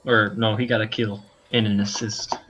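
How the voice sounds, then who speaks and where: A man's voice: lowish voice, little pitch movement, moderate pace, fairly bright tone, rough recording. A man co-commentating on a video game, chatting casually with conversational analysis and banter. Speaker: esports co-commentator.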